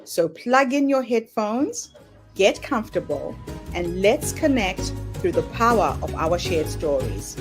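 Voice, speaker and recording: A woman's voice: medium pitch, big pitch swings, measured pace, over music. A woman hosting a podcast, reading a welcoming intro and greeting her guest. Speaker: podcast host.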